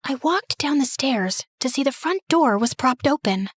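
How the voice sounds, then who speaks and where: A woman narrating a scripted animated story in the first person as a teenage girl, expressive and dramatic. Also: high voice, moderate pace, slightly rough, no echo, studio quality.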